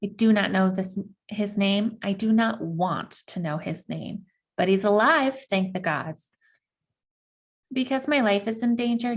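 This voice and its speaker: A woman reads a children's diary-style novel aloud in the first person. Her voice sits at a middle pitch, and her delivery is expressive. Now and then she breaks off to explain something to her listeners.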